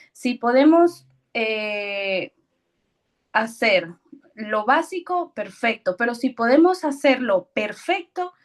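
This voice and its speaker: A woman in her thirties from Ecuador speaks slowly and nervously, with many fillers and soft laughs. Her voice sits a little high, and she tells her story in a personal, hesitant way. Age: 30s. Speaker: guest speaker.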